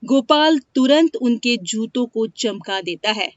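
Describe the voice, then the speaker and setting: Woman narrator for moral tales, unhurried delivery, dry and slightly dull recording. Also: a little high, lively intonation, dry recording. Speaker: storyteller. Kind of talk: scripted moral-story narration. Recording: dry, dull lo-fi studio sound.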